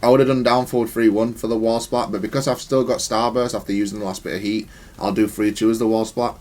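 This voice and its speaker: A man's voice: on the low side, little pitch movement, fast, a little mellow, a little hiss or hum. A man giving matter-of-fact, analytical commentary on gameplay, thinking aloud in a stop-start way. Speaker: gamer explaining own match replay.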